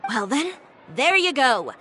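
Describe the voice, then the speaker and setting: An actress playing a poised, blunt young fashion designer in a video game, direct and self-assured. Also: female voice, sits high, sing-song, slow pace, sharp tone, a little hiss or hum, exaggerated voice. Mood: firm, blunt.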